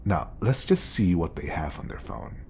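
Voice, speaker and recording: Man acting as deep, gravelly, obsessive bodyguard. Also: very animated, normal speed, a little hiss or hum, exaggerated voice. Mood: sly, teasing. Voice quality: low, creaky. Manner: coaxing, intimate, slipping into whispers. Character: obsessive lovestruck male bodyguard masking devotion as professionalism. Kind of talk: audio roleplay acting addressed to the listener.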